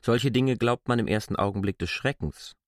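An actor playing a stubborn, self-assured outsider in a radio drama, reasoning articulately and insistently. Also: male voice, mid-range voice, normal speed, dry recording, clean studio sound, lightly acted. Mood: patronising, insistent. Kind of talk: literary radio drama acting.

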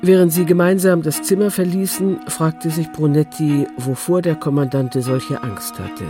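A man narrating a scripted audio drama in a measured, sober manner. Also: on the high side, steady tone. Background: faint music.

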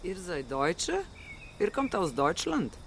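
A dreamy homeless man in a radio drama, kinda croaky, hunts for words, wistful. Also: high voice, wildly swooping pitch, a bit bright, slight background noise, heavily acted. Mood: delighted, wistful. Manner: halting, gropes for words, dreamy. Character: homeless deported man in Rome, wistful, nostalgic for Germany.

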